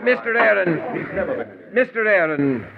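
An actor playing an insistent courtroom lawyer with emphatic, formal questioning in 1950s American radio style. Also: male voice, sits high, sing-song, slow pace, creaky, full character voice. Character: insistent, theatrical courtroom lawyer pressing witnesses for truth. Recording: noisy, tinny 1950s radio recording.

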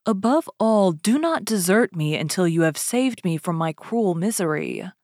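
A woman voicing a loving young prince in a storybook reading, speaking soft, earnest and tender. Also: medium pitch, lively intonation, moderate pace, no room sound, studio quality, lightly acted. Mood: tender, pleading.

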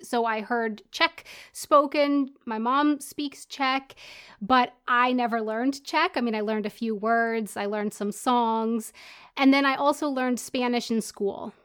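Bubbly American woman, high, smooth voice, casual guest. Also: steady tone, slow, a bit bright. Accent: American English. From United States. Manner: bubbly, laughs often. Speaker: interview guest. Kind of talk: casual conversation.

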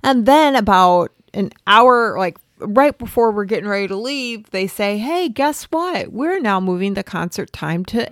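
A woman's voice: medium pitch, measured pace, hardly any pauses, dry recording, studio quality. A middle-aged woman, co-hosting a casual music podcast and telling stories in a chatty way. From northern Illinois, US. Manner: chatty storyteller, animated retelling. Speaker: podcast co-host. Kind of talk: casual chat.

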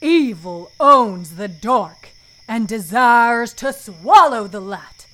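Woman acting a grave, slow, ominous storyteller. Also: fairly high pitch, lively intonation, sharp tone, full character voice. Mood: ominous warning. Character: ominous storyteller warning of a devouring evil. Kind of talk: dramatic audio fiction narration.

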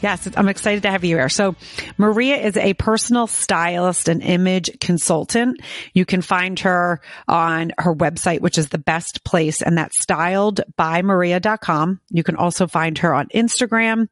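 A woman's voice: on the low side, moderate pace, no echo. Chatty woman hosting a podcast, dry sound. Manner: eager, chatty, talks in long run-on stretches. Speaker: podcast host. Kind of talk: casual interview podcast.

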